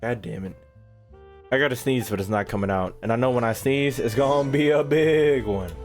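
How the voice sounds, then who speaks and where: A man's voice: medium pitch, normal speed, soft background music. A young man doing casual, slangy, profane reaction commentary as a gaming streamer, riffing and repeating himself.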